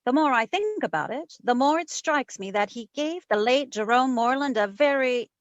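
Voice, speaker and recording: A woman playing a sharp, wry private investigator in an old-time radio detective drama, narrating and trading teasing endearments. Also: high voice, expressive, normal speed, no room sound, highs cut off. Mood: fond, suspicious.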